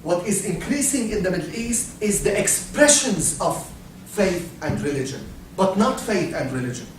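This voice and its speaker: A man from Syria, giving a talk, halting and repeating words, asking the audience questions. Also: high-pitched, expressive. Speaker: speaker. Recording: echoey hall, from far off, faint noise.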